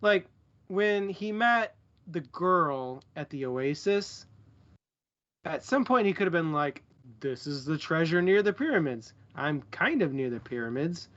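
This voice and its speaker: A man co-hosting a stream in informal, casual chat, haltingly feeling his way to the right words. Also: a little high, big pitch swings, fairly bright tone, lo-fi sound. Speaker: co-host of a book club stream.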